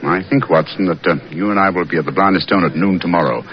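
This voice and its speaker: An actor playing a shrewd, composed gentleman detective, speaking precisely and deliberately in a muffled 1940s radio drama. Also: deep voice. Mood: brooding, alert.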